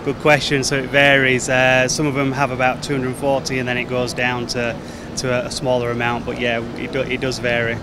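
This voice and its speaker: A man's voice: mid-range voice, completely flat delivery, fairly quick. A man in his thirties, a marketing manager, answering interview questions about products at a busy trade show with fluent, promotional talk. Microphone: handheld mic held by interviewer. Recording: noisy trade show floor. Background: crowd chatter and hall noise.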